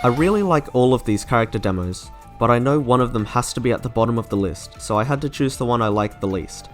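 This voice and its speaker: A man's voice: mid-range voice, lively intonation, fast. Man narrating a scripted video over music.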